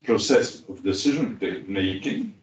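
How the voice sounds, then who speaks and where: A man speaking as a cooperative practitioner on a panel, pausing often with fillers. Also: lowish voice, expressive, slight background noise. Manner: halting, many pauses and fillers. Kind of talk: panel discussion remarks. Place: large conference hall. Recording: echoey hall, distant dull mic.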